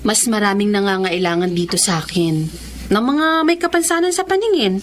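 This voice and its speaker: A woman's voice: medium pitch, animated, moderate pace, lightly acted. A woman playing a kind, modest and devoted schoolteacher in a Filipino radio drama. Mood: affectionate. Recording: faint background noise.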